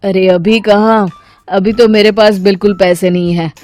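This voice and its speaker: A woman playing a harried husband and son who placates and reassures everyone in an animated moral story. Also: medium pitch, normal speed, faint music behind, lightly acted. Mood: apologetic. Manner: conciliatory, reassuring.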